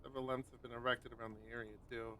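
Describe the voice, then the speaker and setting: A man's voice: medium pitch, little pitch movement, very fast, sharp tone, a little hiss or hum. A man hosting a live stream, chatting casually with friends and reading a visual novel's text aloud with rambling asides. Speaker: streamer host.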